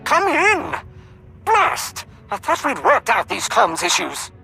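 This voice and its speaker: A man's voice: high-pitched, huge pitch swings, slow pace, gravelly, pushed and bright, breathy edge, soft background music, heavily acted. A man playing an urgent military colonel giving emphatic orders over comms in a video game. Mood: frustrated.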